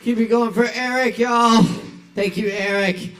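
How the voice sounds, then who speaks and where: A man's voice: extremely high pitch, fairly even intonation, measured pace, a bit bright. A man hosting an open mic, introducing acts hesitantly while hyping the crowd. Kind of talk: emcee introductions.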